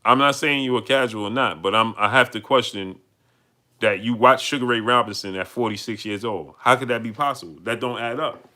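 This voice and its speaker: A man's voice: medium pitch, a little fast, pushed and bright. Emphatic New York man hosting a livestream. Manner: emphatic, repeats lines, rises to heated peaks. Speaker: livestream host. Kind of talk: live-stream chat, reading super chats.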